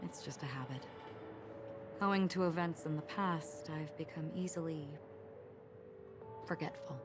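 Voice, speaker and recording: A calm young swordswoman who speaks in a low, half-whispered way. Her lines are unhurried and reflective. Game music plays clearly behind her. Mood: wistful. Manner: quiet, slow, half-whispered. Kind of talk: game voice acting. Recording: game audio with music underneath.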